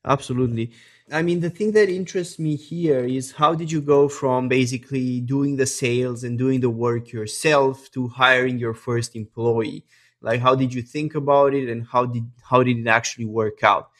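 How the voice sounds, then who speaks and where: A man's voice: medium pitch, fairly even intonation, moderate pace. A man with a mid-range voice hosts a podcast interview. He speaks conversationally, in an agreeable, affirming manner.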